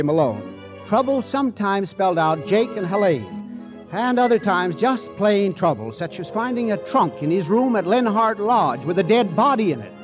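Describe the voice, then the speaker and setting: Man, wry radio narrator, high animated voice, music under, dull recording. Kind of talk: dramatic recap narration.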